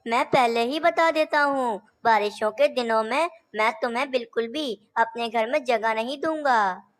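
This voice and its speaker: A woman's voice: fairly high pitch, normal speed, natural voice. A woman voicing male cartoon birds in a children's story, speaking in a simple, declamatory way. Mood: stubborn, assured. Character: male cartoon birds: helpful parrot and lazy scheming crow. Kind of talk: Hindi animated children's story dialogue.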